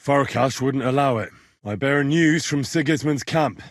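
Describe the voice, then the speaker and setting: A man's voice: mid-range voice, measured pace, dry recording, studio quality, close to an everyday voice. An actor playing an earnest, courteous young medieval messenger and man-at-arms, speaking plainly and politely in video game dialogue. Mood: grave, matter-of-fact. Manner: polite, plain-spoken, deferential to betters.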